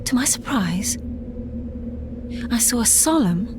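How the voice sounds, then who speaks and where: A woman playing a sheltered, wistful young gentlewoman in a gothic audio drama, speaking in a measured, literary way. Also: a little high, expressive, background noise. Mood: wistful, haunted. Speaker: lead actress and narrator. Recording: audio drama with clear background ambience.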